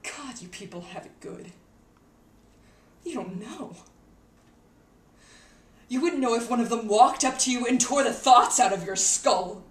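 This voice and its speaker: A woman playing a haunted, embittered young wizard turned killer in a dramatic monologue, intense and halting, swinging between hush and outcry. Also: mid-range voice, lively intonation. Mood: fierce, defiant. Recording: echoey room, distant mic, faint noise.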